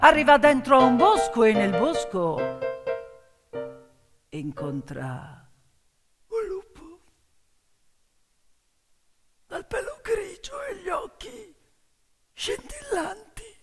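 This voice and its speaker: A high-voiced woman narrates theatrically, leaning hard on words and pausing between them. Her delivery is slow and animated, with music behind. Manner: dramatic, emphatic delivery with deliberate pauses. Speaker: actress and storyteller in a theatre monologue. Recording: long reverb, piano music behind.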